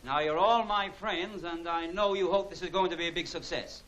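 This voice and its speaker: An actor playing an earnest, eager inventor in a 1940s film serial, speaking formally on a hissy old soundtrack. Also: male voice, sits high, moderate pace, natural voice. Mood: welcoming. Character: earnest, eager inventor hosting a television demonstration.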